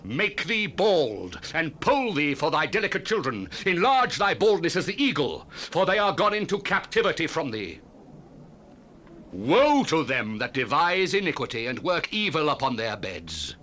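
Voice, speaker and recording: Casting: Old Testament prophet, male, slightly high, piercing voice, grave and theatrical proclamation for a cassette-era Bible audio drama. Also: very animated, normal speed, sharp tone, exaggerated voice. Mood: grave, accusing, then solemn warning. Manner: declamatory, solemn, theatrical. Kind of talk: dramatic scripture reading, archaic English.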